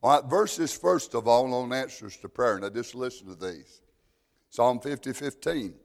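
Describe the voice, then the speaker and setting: A man with a loud voice teaching an evening Bible lesson in a folksy, plainspoken way with homespun jokes. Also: mid-range voice, unhurried, long reverb tail.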